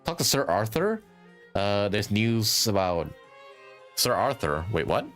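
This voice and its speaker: A man's voice: lowish voice, big pitch swings, unhurried, fairly bright tone. A man live streaming a game with informal, chuckling commentary and some swearing. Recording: game music behind.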